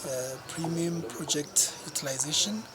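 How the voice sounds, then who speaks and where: Halting Ethiopian man, loud crowd behind. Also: on the high side. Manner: halting, searching for words. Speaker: farmers' cooperative representative. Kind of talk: impromptu testimonial.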